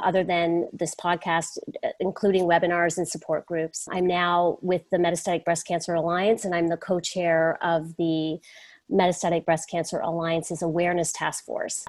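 A Canadian woman in her fifties, chatting in a friendly, upbeat way as she introduces herself on a podcast. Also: lowish voice.

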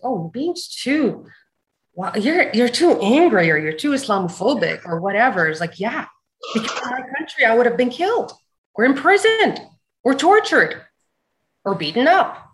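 A woman from Saudi Arabia talking as a guest on a video call, hesitant and halting, also reading out viewer comments. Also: medium pitch, a bit bright.

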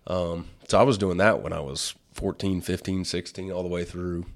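A man in his forties with a hoarse voice from a cold, hosting a casual podcast chat and rambling with many fillers. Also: deep voice, fairly even intonation, normal speed. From Illinois. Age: 40s. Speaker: podcast host, wildlife artist.

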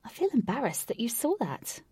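A woman's voice: medium pitch, animated, normal speed, dark tone, no room sound. A woman voicing an awkward, earnest, self-doubting young girl in a storybook reading. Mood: awkward, curious.

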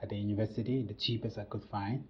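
Cameroonian man, young, low and husky, unhurried interview guest, lo-fi recording. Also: steady tone. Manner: measured, many "uh" pauses. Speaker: podcast guest. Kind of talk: casual interview storytelling. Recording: dull lo-fi sound.

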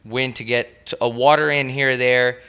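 A raspy man in his twenties, co-hosting a podcast with crude, joking casual chat. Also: mid-range voice, fairly even intonation, moderate pace, pushed and bright. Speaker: podcast co-host.

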